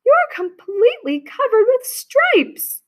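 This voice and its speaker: A woman voicing a flustered mother who shrieks in a high, shrill voice. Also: sing-song, slow, exaggerated voice. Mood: alarmed.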